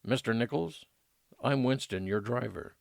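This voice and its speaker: A man's voice: mid-range voice, unhurried, gravelly, a little mellow, no echo, voice pushed up. A courteous, dutiful driver, played by a man in an old-time radio mystery. He speaks formally, with his voice lifted a little, in a dry recording. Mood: polite, formal. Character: polite, dutiful male chauffeur. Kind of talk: old-time radio detective drama acting.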